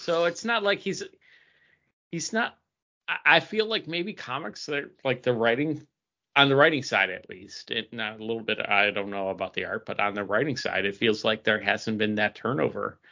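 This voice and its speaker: Bright-voiced man musing on a podcast. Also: on the low side, moderate pace, gravelly, highs cut off. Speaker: podcast co-host. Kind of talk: casual group discussion about comics.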